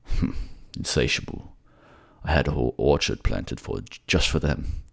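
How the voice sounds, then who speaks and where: A man playing a gruff, weary, brooding young king in a fantasy audio drama, speaking tersely and gravely. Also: extremely low pitch, moderate pace, pitched below the performer's own voice, exaggerated voice. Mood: wry, gruff.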